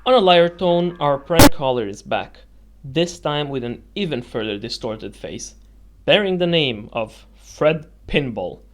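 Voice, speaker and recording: A man's voice: fairly high pitch, expressive, a little slow, slight background noise. A man narrating a scripted video essay with dramatic, suspenseful storytelling phrasing. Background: music.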